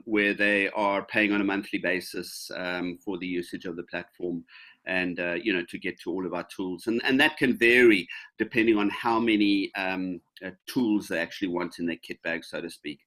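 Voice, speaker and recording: A man's voice: on the low side, steady tone, moderate pace, fairly bright tone. A man in his fifties answering questions on a video call, casual and hesitant, with many fillers and restarts. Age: 50s. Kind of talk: casual webinar Q&A.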